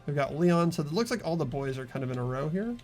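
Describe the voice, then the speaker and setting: A man's voice: on the high side, lively intonation, fast talker, a little mellow, soft background music. A man hosting a video game playthrough, talking casually as he explains things to the group. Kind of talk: casual let's-play commentary.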